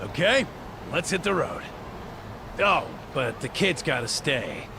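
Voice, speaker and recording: A gravelly, hotheaded yakuza thug. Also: male voice, on the high side, animated, noisy background, full character voice. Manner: blustery, quick to bicker. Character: hotheaded gravelly male yakuza henchman, dim. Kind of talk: English game dub.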